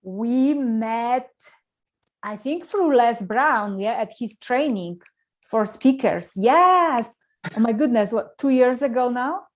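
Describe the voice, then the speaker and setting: A woman's voice: a little high, slow. Effusive woman with a Polish accent. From Poland. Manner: effusive, animated storyteller. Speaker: guest. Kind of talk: interview storytelling.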